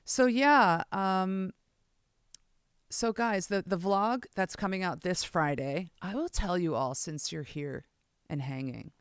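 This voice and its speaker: A woman with a low voice, rambling excitably on a casual live stream as she plays bass and fiddles with her gear. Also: takes it slowly, clear, resonant tone, dry recording, clean studio sound. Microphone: streaming setup mic.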